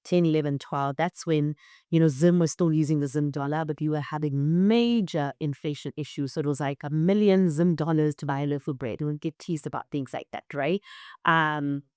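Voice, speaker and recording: A woman's voice: low voice, normal speed, darkish tone, clean tone, dry recording, clean studio sound. A young adult woman, a guest in an interview, talking thoughtfully and often checking in with "right?". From South Africa. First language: Shona. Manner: thoughtful, frequent "right?" tags. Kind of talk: reflective interview talk.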